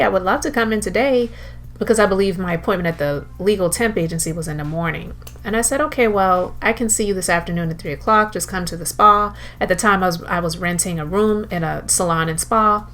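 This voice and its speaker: A woman telling a personal motivational story in an earnest way, with deliberate, emphatic repetition. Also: on the low side, a bit bright. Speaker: entrepreneur telling her story. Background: background music.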